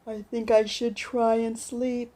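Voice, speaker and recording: A woman's voice: on the high side, flat delivery, mellow. A woman playing a lonely old widow. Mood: weary. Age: older. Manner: slow, wistful, talking to herself. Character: lonely grieving old widow, fired telemarketer, turning bitter. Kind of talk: audio drama acting.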